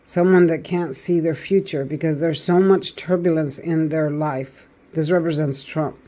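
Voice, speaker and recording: A man with a raspy voice doing a chatty tarot reading, talking straight to his viewers. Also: fairly high pitch, fairly even intonation, normal speed, dark tone. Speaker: tarot reader.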